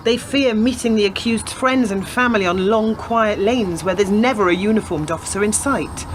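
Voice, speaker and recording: A woman's voice: mid-range voice, normal speed, close to an everyday voice. Woman acting an earnest young policewoman, noisy recording. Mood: earnest, insistent. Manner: earnest, persuasive. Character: earnest, stubborn young policewoman debating her grandmother. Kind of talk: scripted radio play acting. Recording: community radio drama with clear background noise.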